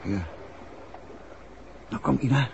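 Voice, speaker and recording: A man acting a calm, resolute detective husband in a 1960s Dutch radio drama. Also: medium pitch, takes it slowly, vocal fry, muffled recording. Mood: calm, watchful. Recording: noisy old 1960s radio recording.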